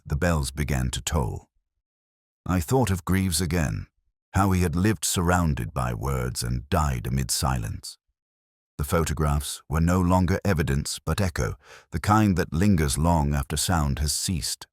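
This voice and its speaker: A man reads scripted first-person detective fiction as narrator, in a measured, reflective, literary way. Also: very deep voice, no room sound, clean studio sound.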